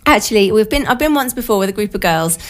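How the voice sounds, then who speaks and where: Radio host: British woman in middle age, informal and chatty, self-deprecating, voice croaky and bunged up with a cold. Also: mid-range voice, lively intonation, fast talker, fairly bright tone. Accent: British English. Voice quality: croaky, slightly nasal from a blocked nose. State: has a cold. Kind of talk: casual chatty radio monologue. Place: radio studio.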